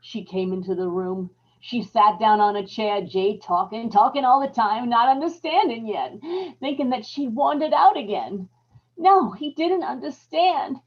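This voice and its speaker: A woman playing a guilty, unhinged wife giving an intense, dramatic confession in an old-time radio horror play. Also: a little high. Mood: horrified. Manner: intense, emphatic, repetitive storytelling with dramatic pauses. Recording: dull lo-fi live radio-play audio.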